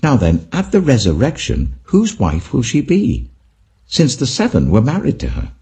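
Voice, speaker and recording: A man's voice: mid-range voice, wildly swooping pitch, moderate pace, warm tone, pitched above the performer's own voice. A man voicing a sly questioner who feigns respect, speaking formally and pointedly, in a dramatic scripture reading. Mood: pointed, probing.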